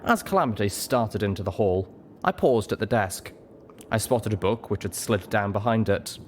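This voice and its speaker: A man reading a story aloud as expressive, scripted first-person narration. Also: lowish voice, moderate pace, slight background noise. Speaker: audiobook reader.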